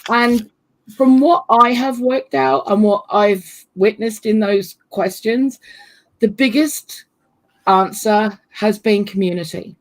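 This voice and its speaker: A hoarse woman hosts a casual live-stream chat over a video call, talking chattily with frequent laughs. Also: mid-range voice, takes it slowly, poor audio. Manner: chatty, laughs often, fillers like "you know". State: losing her voice, hoarse. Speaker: host.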